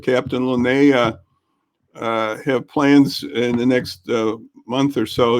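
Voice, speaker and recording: A man hosting a casual local interview show over a video call, talking in a folksy, chatty way. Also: medium pitch. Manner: folksy, chatty, warm thanks. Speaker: host.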